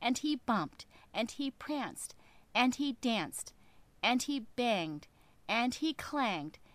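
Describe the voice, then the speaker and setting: A woman narrating a children's story expressively, with a deliberate, rhythmic, dramatic delivery. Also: a little high, big pitch swings, a bit bright, no echo.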